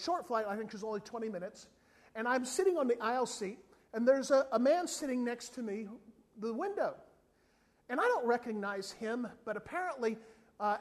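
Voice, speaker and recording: A man preaching a sermon as an evangelist, telling a funny story and briefly acting out the people in it. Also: very high-pitched. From not from South Africa. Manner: animated storytelling, acts out other people's voices.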